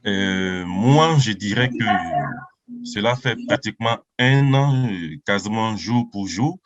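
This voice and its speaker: Earnest deep-voiced man from Côte d'Ivoire. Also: expressive, dull audio, noisy background. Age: 40s. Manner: earnest, halting with fillers. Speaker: adult baptism candidate. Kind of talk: personal testimony.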